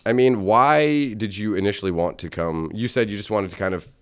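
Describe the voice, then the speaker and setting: A man with a very low, smooth and resonant voice hosts a relaxed podcast chat. He talks informally, rambling along and joking as he goes. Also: fairly quick. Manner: laid-back, rambling, informal. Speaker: podcast host.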